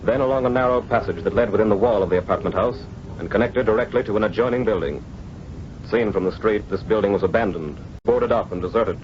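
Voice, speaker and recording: Low-voiced American radio serial narrator male actor. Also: fast, close to an everyday voice. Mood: dramatic. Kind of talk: scripted 1940s radio narration. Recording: muffled old radio recording, faint noise.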